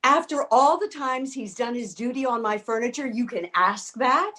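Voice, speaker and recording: Woman acting a sharp-tongued eccentric lady, slightly high, curt, deadpan, grumpy, staged comedy. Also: normal speed. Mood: grumpy, cutting.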